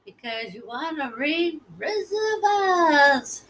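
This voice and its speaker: Woman co-host, extremely high-pitched, bright, silly, childlike, casual playful banter. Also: lively intonation, slow. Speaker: co-host, host's mother.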